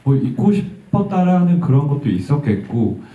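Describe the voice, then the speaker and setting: A male actor answers an audience question in a thoughtful, halting way, his pitch rising and falling a good deal. He sounds thin and tinny, picked up from far off in an echoing hall. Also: sing-song. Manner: reflective, halting, laughs softly. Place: event hall.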